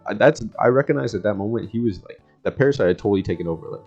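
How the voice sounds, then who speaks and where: A young man speaks in a fairly low voice over a music bed. He talks casually in slang, repeating himself as he works through self-help advice. The sound is slightly dull and lo-fi. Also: expressive, very fast, a little mellow. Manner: rambling and repetitive, often chuckling mid-sentence. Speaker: vlogger giving self-help talk. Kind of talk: casual, slangy motivational chat to the audience. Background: background music.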